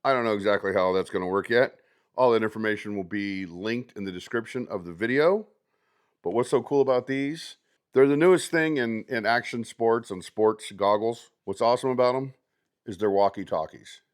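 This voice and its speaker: A man's voice: fairly low pitch, normal speed, clean tone. A man talking casually and conversationally as a product reviewer, showing items step by step in an unboxing video.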